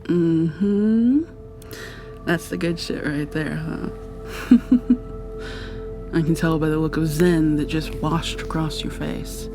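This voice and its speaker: A woman playing an affectionate, playfully dominant girlfriend in an intimate ASMR roleplay, murmuring softly and breathily to the listener, often sliding into whispers. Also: steady tone, slow, pauses often, over music. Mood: affectionate, cozy. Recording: audible background hiss with low rumble.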